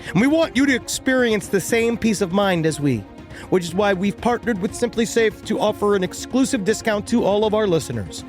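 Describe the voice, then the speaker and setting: Lively high-voiced man reading ads. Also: brisk, faint music behind. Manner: jokey, drifts into silly tangents. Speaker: podcast host reading ads. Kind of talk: playful ad read.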